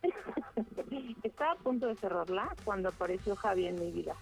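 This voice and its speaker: A woman in her fifties, chatting tenderly as a radio guest and filling pauses with "este". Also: mid-range voice, expressive, vocal fry, faint music behind. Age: 50s. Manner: chatty and tender, with many fillers. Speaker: guest dedicating a song. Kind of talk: casual radio interview.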